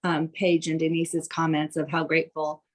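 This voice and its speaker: A woman speaking as a charity treasurer on an online conference call, explaining things in a measured, considered way. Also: low voice, level tone. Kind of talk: explanatory talk on a group call.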